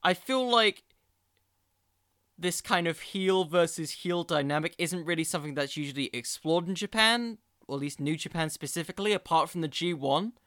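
A man with a bright, forceful voice, pitched a little high, hosts a casual podcast. His sound is crisp and dry, and he rambles as he thinks aloud, often poking fun at himself. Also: normal speed, dry recording. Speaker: podcast host. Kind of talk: casual wrestling commentary.

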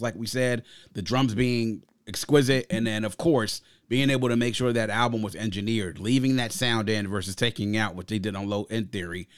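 A man's voice: mid-range voice, dry recording. Enthusiastic male music podcast host, dry close sound. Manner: opinionated, emphatic, slangy. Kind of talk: casual enthusiastic album commentary.

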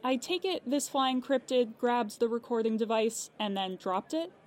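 An actress playing a polite, unflappable insurance claims agent in an audio drama, measured and official. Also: female voice, on the high side, expressive, a little mellow, natural voice. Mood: businesslike. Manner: measured, official, matter-of-fact.

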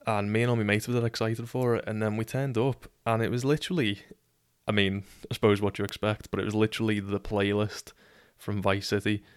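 A young man from Liverpool with a Scouse accent, hosting a casual solo podcast and telling a story in a monotone, sweary way, often tripping over his words. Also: on the low side, fairly quick, smooth, no echo, studio quality. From Liverpool, England. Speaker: podcast host.

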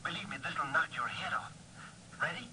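An actor playing an excitable, muddled male sidekick, heard through a telephone filter in a 1950s radio drama. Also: fairly high pitch, normal speed. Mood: eager, excited. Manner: eager, muddled, breathless chatter. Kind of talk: 1950s radio comedy-relief acting. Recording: distant, noisy telephone voice, old radio.

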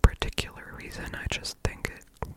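A German ASMR creator with an American-leaning English accent, telling a personal story in a soft unvoiced whisper with a slightly scratchy throat. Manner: unhurried, rambling with fillers.

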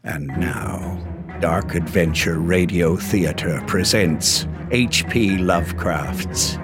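An actor playing a hearty old-time radio announcer and sponsor's pitchman. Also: takes it slowly. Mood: grand, selling. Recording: loud music behind.

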